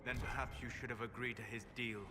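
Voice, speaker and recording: An actor playing a calm, proud, scholarly companion in a fantasy video game, formal and measured with a disapproving air. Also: male voice, mid-range voice, close to an everyday voice. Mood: reproachful. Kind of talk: dramatic video game dialogue. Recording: loud music over voice.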